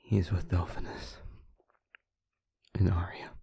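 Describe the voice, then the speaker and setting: A man's voice: low voice, no room sound, full character voice. Man playing a weary whispering protector. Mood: tired. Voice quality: breathy. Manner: soft hushed whisper, slow and steady. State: exhausted. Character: weary, gentle male protector, calm. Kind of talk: audio roleplay acting.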